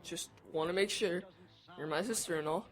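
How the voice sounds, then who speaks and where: A woman's voice: fairly low pitch, moderate pace, soft background music, natural voice. An actress voicing a sulky, teasing young boy, the little brother in an audio drama. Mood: wary.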